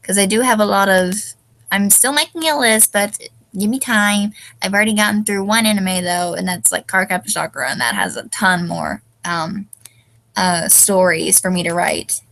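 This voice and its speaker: A woman's voice: medium pitch, normal speed, vocal fry, a bit bright. A young woman with a stuffy, tired voice, rambling casually as a fanfiction reviewer and often trailing off with ums. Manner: hesitant, many ums, loses her train of thought.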